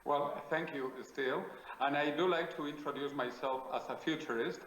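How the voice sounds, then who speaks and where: A man from South America with a Spanish accent, a guest in an interview, answering in a measured, declarative way with frequent hesitations. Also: fairly high pitch, fairly bright tone, echoey room, slight background noise, rough recording. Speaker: guest, futurist.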